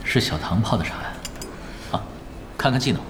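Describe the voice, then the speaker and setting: An actor playing a laid-back, self-assured expert gamer in an audio drama, casually explaining things. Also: male voice, mid-range voice, expressive, fairly bright tone, slight background noise, natural voice. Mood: musing. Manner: casual, unhurried, explains tactics matter-of-factly.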